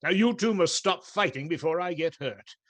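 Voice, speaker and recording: An actor playing a jovial, bold outlaw leader in a radio play, with a hearty, teasing manner. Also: male voice, a little high, animated, moderate pace, a bit bright. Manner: hearty, teasing, laughing outbursts. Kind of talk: stage radio play acting.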